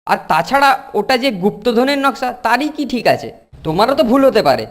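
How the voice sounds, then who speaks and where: A woman's voice: a little high, lively intonation, brisk, lightly acted. A woman voicing a cheeky, wary young schoolboy who talks assertively in a children's cartoon. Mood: defiant. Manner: sceptical, assertive. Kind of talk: Bengali children's cartoon dialogue.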